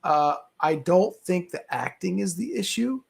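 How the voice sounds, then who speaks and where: Guy on a film panel, kinda high voice, casual and opinionated, keeps rewording stuff. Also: very animated, measured pace, vocal fry. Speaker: movie show panelist.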